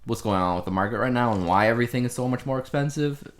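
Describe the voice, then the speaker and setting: A man's voice: medium pitch, fast talker. A man co-hosting a casual podcast, explaining things in a conversational way. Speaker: podcast co-host. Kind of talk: casual two-person podcast chat.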